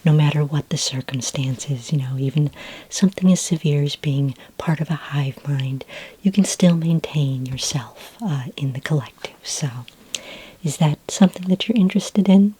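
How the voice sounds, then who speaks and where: A woman speaking in a soft, breathy, whispery voice for an ASMR roleplay, playing a friendly, patient librarian who talks gently, helpfully and without hurry. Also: deep voice, slight background noise. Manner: gentle, unhurried, helpful, conversational. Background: computer keyboard typing.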